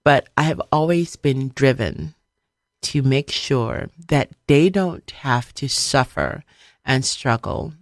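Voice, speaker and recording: Woman, middle-aged, from Liberia, low gravelly voice, emphatic motivational radio host, repeats phrases for stress, dry close sound. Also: slow pace, dry recording. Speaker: radio show host, speaker. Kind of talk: motivational talk on a radio show.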